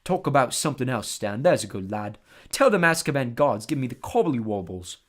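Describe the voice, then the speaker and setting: A reader voicing an older male bus driver who talks slowly and gruffly in few words. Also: medium pitch, sing-song, natural voice.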